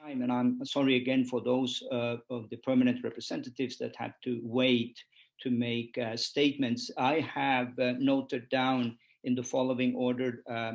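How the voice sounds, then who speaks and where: Gravelly male moderator, flat tone. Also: mid-range voice. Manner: hesitant, fills with "uh" and "um". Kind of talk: chairing an online meeting.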